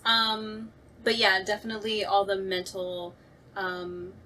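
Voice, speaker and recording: Give a woman with a bright, sharp-edged voice at a medium pitch. She talks casually and animatedly, like a writer and actor in a relaxed talkback, often voicing her own thoughts. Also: measured pace, a little hiss or hum. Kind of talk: casual chat.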